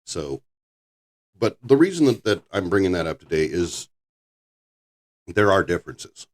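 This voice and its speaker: An older man with a very low voice, hosting a casual podcast chat, rambling and halting with frequent restarts. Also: very animated. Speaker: podcast host.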